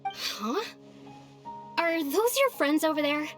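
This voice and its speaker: A woman playing a shy, sweet young village woman in a video game, speaking in a halting, tentative way. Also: high voice, animated, a bit bright, faint music behind, heavily acted. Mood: quietly disappointed.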